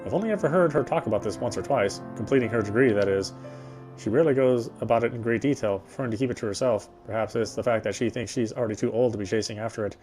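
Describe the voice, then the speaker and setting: A man reading the first-person narration of a horror visual novel in a measured, brooding way. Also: mid-range voice, a little mellow, faint music behind. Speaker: reader.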